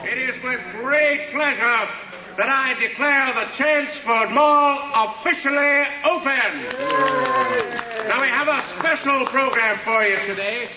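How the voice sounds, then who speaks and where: Anxious speechmaker, high-pitched, reassuring, echo, noisy crowd, radio drama. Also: male voice, measured pace. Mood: ceremonious. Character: flustered official opening a shopping mall. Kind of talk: radio drama acting as public address. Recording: echoing hall, loud crowd, dull sound.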